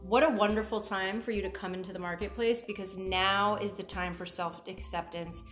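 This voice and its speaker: A chatty woman hosts a relaxed interview and keeps jumping in with quick words of agreement. Her voice sits at a middle pitch, and it rings in an echoey room with music playing clearly behind her. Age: older than her twenties guest. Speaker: host. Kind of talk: casual interview chat.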